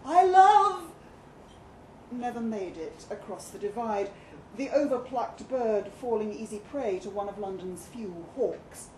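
Woman, live story reading, theatrical and deliberate, slow pace, roomy sound, faint background noise. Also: medium pitch. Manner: expressive, dramatic, deliberate. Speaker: story reader at a live event. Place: live reading event in a London pub.

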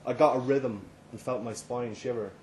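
An Irish man narrates a short story in the first person at a live reading. His pitch sits in the middle of the male range, and there is faint noise behind him. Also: fairly even intonation, normal speed, darkish tone. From Ireland. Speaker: story reader. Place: live reading at a London venue. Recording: live event recording, faint background noise.

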